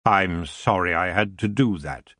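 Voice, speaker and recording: Male narrator doing an ancient elf king: deep, unhurried, silky, menacing. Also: a bit bright, no room sound, clean studio sound. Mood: lazy. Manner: smooth, languid and slow.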